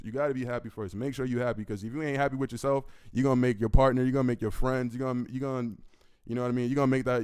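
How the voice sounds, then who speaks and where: A man in his twenties with a deep, gravelly voice, a musician answering fan questions in laid-back, profane casual talk. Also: darkish tone. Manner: laid-back, profane, keeps asking "you know what I mean"; commanding, projecting delivery. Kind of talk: casual solo Q&A.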